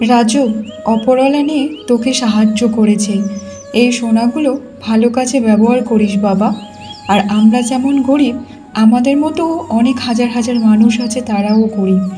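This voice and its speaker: A woman voicing a kindly, weary village mother in a cartoon, gently coaxing and advising. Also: on the high side, unhurried, faint music behind, close to an everyday voice. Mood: gentle, earnest. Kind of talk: Bengali cartoon voice acting.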